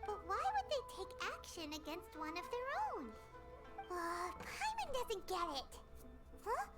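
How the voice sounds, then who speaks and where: A woman voicing a tiny, earnest and excitable childlike fairy companion in a video game, with a squeaky, chirpy voice. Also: measured pace, heavily acted. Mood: puzzled, curious. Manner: chirpy, refers to self in third person. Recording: background music.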